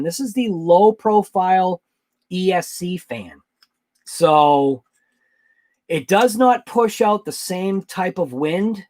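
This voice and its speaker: Male hobby-channel host, mid-high voice, loose rambling livestream delivery, chatting with viewers. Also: darkish tone. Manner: rambling, thinks aloud, answers live chat. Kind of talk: casual late-night livestream chat.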